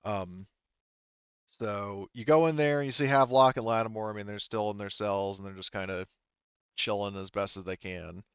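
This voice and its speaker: A man's voice: on the low side, steady tone, moderate pace, clean tone, dry recording, highs cut off. A man speaking casually and informally as a game master, narrating and explaining a tabletop game.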